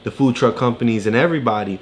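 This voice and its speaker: Very low-voiced woman chatting through a read-along. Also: quick pace. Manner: encouraging, explains with check-in questions. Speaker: parent co-host of a read-aloud. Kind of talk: casual read-along chat with a child. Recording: faint background noise.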